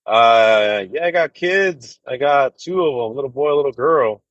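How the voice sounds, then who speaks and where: A man's voice: mid-range voice, unhurried, dry recording. Trucker in his thirties, casual chatty livestream, says "dude" a lot, dull dry audio. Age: 30s. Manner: laid-back, rambling, full of fillers and "dude". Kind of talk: casual livestream chat with viewers. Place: inside a truck cab.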